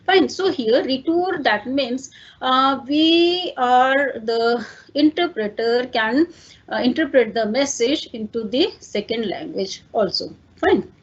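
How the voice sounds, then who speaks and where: High-voiced Indian woman teaching a lecture. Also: husky, highs cut off. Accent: Indian English. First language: Hindi. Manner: explains step by step with many fillers and "fine" check-ins. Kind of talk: online lecture.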